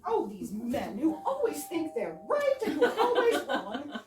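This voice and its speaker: A woman's voice: sits high, huge pitch swings, a little slow, darkish tone, soft background music, full character voice. A woman acting an indignant, stubborn housewife in a staged comedy reading, arguing emphatically. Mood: insistent. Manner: emphatic, argumentative. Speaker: amateur readers theater actor. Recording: echoey room, far mic, background noise.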